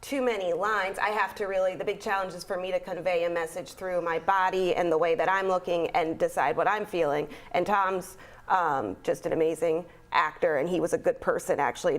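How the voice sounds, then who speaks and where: A young woman, a stage actor, chatting casually in an interview with lots of fillers and light laughs. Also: lowish voice. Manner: chatty, many fillers, laughs while talking. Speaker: actor in a community theater play.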